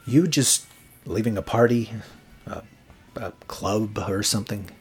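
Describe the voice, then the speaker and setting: A man's voice: lowish voice, measured pace, breathy edge. A young man playing a nervous, eager rookie late-night rock DJ with an awkward, jokey manner in a horror audio drama. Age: young adult.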